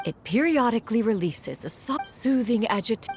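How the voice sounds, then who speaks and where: Stately immortal sage, sweeping intonation. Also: female voice, fairly high pitch, sing-song, moderate pace. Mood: haughty. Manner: formal, archaic, haughty yet caring. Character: proud immortal bird sage, stately aunt-like mentor. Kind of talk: fantasy video game acting. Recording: music behind the voice.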